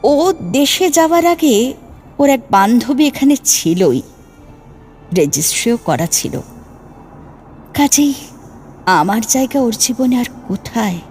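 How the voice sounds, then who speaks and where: A high-pitched female ghost played by a woman speaks slowly, with dramatic pauses. She is a betrayed, vengeful young lover in a horror audio drama, with music under her voice. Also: animated, darkish tone. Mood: wounded, bitter. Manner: slow, dramatic, aggrieved delivery with pauses. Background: background music.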